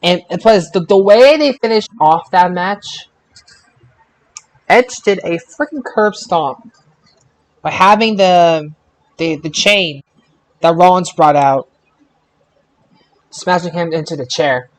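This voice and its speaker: A man hosting a casual panel podcast about wrestling results, speaking in an emphatic, excitable way. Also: high-pitched, slow, pauses often, low-quality recording. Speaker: podcast host.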